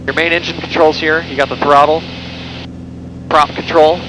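A man's voice: fairly high pitch, moderate pace. A young man giving a casual, conversational cockpit tour, speaking through a headset mic in a small airplane in flight. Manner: relaxed, conversational, with fillers and self-corrections. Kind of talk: casual explanatory walkthrough. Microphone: headset boom mic. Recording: engine noise through intercom. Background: steady engine drone.

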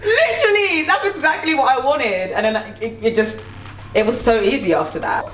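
A woman's voice: a little high, lively intonation, normal speed, a bit bright. A young woman hosting a casual cooking chat at home, talkative and teasing. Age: young adult. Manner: chatty, playful teasing. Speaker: singer hosting a cooking video. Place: home kitchen. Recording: roomy kitchen echo, mic at a distance.

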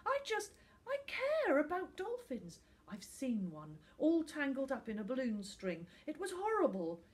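A woman's voice: sits high, very animated, unhurried, voice pushed up. A woman voicing an earnest young boy, pleading insistently in an audiobook story. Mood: earnest, upset. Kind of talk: audiobook character acting.

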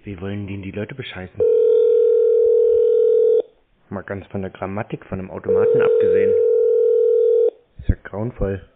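A man's voice: low-pitched, flat delivery, brisk. Blunt man on a noisy, narrow-band line. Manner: blunt, dry, needling. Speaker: host, prank-call scam baiter. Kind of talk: casual phone talk. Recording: thin phone sound, clear noise.

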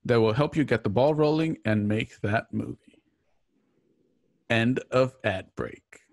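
A male announcer with a medium-pitched voice reads a scripted sponsor message. The recording is close and dry. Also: unhurried, vocal fry, dry recording. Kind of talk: scripted ad read.

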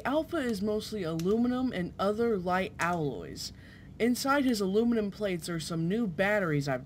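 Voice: medium pitch, slight background noise, lightly acted. An actor playing a proud, genial inventor professor in a formal, theatrical 1940s radio style. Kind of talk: 1940s radio drama acting.

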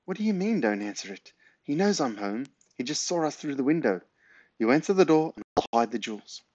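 A man's voice: medium pitch, sing-song, a little fast, darkish tone, no echo, dull audio, voice pushed up. A man voicing a feisty old granny in a storybook, bossy and full of indignation. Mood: bossy, urgent.

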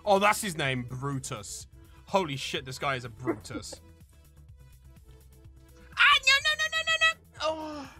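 A video game streamer giving excitable commentary, yelling and cursing at the game. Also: mid-range voice, a little slow, pauses often, soft background music. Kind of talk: excitable gameplay commentary with swearing.

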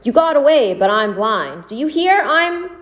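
A woman's voice: high voice, sing-song, normal speed, voice pushed up. Lady reading as a blind beggar guy, whiny and bitter, voice jumping all over. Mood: anguished. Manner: pleading, self-pitying, rising to shouts. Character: bitter blind male peddler, whining and dramatic.

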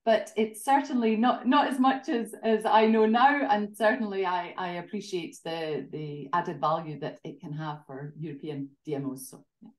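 A woman's voice: fairly low pitch, moderate pace. Scottish woman on a roomy video call. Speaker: guest, tourism association director. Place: home living room. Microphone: laptop webcam video call.

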